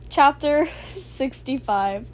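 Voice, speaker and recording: High-voiced woman narrating fan fiction. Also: lively intonation, slow, a little mellow, poor audio. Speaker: amateur audiobook narrator. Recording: faint background noise.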